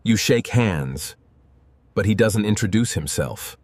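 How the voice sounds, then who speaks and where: A man narrating a scripted second-person story in a deadpan manner. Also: on the low side, unhurried, dry recording.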